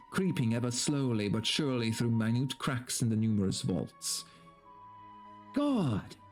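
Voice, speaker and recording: A man's voice: mid-range voice, a little mellow. A man doing a dramatic story reading as a streamer, switching between theatrical narration and casual, amused, profane commentary. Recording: background music under the voice. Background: video game music.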